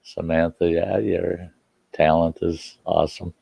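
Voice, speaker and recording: A man's voice: sits low, level tone, measured pace, vocal fry, warm tone, slightly rough, no echo, dull audio. An older man with a slightly stuffy nose, chatting and reminiscing in a folksy, rambling way as he hosts a casual livestream from home. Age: 60s or older. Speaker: livestream host and storyteller. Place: indoors at home.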